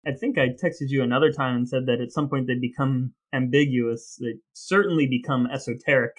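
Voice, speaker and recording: A man's voice: medium pitch, fairly even intonation, fairly quick. A man co-hosting a podcast, chatting casually about trivia and research in a wry, playful, rambling way. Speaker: podcast co-host.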